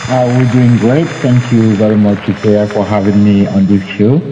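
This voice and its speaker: A man from Cameroon speaking as a panelist on an online talk show, halting and searching for words. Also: lowish voice, little pitch movement, in a large hall, lo-fi sound, over music, crowd noise. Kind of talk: casual video call discussion.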